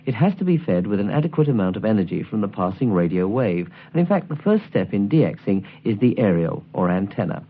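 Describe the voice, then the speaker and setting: A low-voiced man delivers scripted instruction with widely varied intonation. He enunciates each point in a measured, precise way. The sound is thin and narrow, like an old late-1970s album recording. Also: slightly rough. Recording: tinny, band-limited 1979 album recording.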